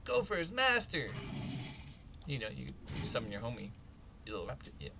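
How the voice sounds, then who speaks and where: A man's voice: medium pitch, wildly swooping pitch, brisk, vocal fry, background noise. A man in casual banter, coaching another player in a teasing, sarcastic way. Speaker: gaming co-host, coaching the player.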